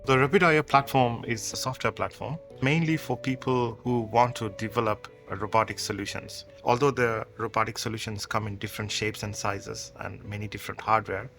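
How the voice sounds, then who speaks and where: A man speaks in a low, gravelly yet bright voice. He answers interview questions thoughtfully and conversationally, explaining ideas through examples. Soft music plays underneath. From Sri Lanka. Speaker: company co-founder.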